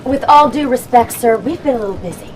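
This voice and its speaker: A brash young commando fires off lines quickly. She is impatient and sassy, heard over a slightly noisy field transmission. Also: a little high, lively intonation. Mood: tense, defiant. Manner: sassy, sharp, defiant. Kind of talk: dramatic video game cutscene acting.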